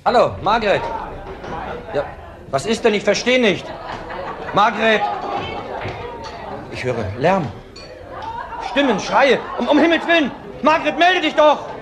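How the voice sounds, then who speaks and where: An actor playing an agitated, evasive husband in his thirties in a crime drama, speaking haltingly and repeating words. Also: sits high, big pitch swings, a crowd in the background, background noise, heavily acted. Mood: panicked. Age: 30s. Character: young widower husband, agitated, evasive under questioning. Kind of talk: German crime drama acting.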